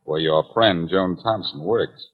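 An actor playing a cocky, wisecracking amateur detective in a glib, teasing 1940s radio drama style. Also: male voice, deep voice, measured pace. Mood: glib, breezy. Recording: muffled 1940s radio broadcast with music.

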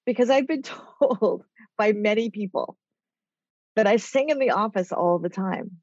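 A woman's voice: mid-range voice, animated, a little slow, darkish tone, no room sound, dull audio. A woman guest in a casual interview, talking in a rambling way with a nasal voice. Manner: rambling, chatty. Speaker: author and executive guest.

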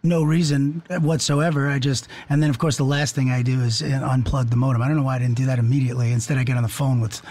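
A man co-hosting a podcast in casual, joking banter, often jumping in with quick remarks. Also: mid-range voice, steady tone, a little mellow. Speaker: podcast co-host.

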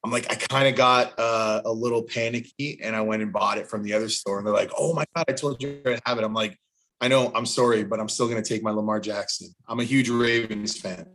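A man's voice: fairly low pitch, fairly even intonation, a little fast, fairly bright tone. A man chatting casually, telling personal anecdotes in a sentimental way. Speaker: podcast co-host. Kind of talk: casual chat.

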